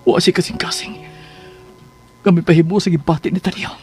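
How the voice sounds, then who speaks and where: A man playing a brooding, scheming lover in a radio drama, speaking in a slow, deliberate monologue. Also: high voice, steady tone, faint music behind, natural voice.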